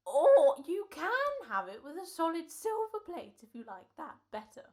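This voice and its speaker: A woman playing a polite, eccentric old gentleman salesman with hesitant, folksy speech in a radio comedy-horror play. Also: high-pitched, very animated, normal speed. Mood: eager sales patter.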